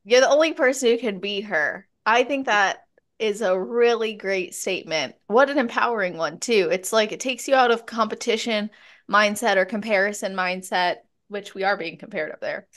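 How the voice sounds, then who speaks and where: A woman's voice: mid-range voice, normal speed, a bit bright. Bubbly woman hosting a podcast. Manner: bubbly, laughs often. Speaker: podcast host. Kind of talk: casual interview chat.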